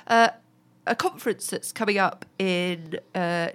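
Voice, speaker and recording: A woman's voice: fairly low pitch, measured pace, a bit bright. A woman with a cold, sounding bunged up, chatting as co-host and reading through event listings. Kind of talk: casual business podcast chat.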